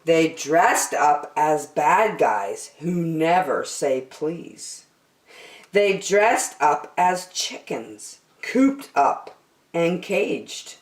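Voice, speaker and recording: A woman reading a rhyming picture book aloud as a storytime host, expressive and theatrical, addressing young children. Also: deep voice, extremely slow. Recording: roomy, echoing sound.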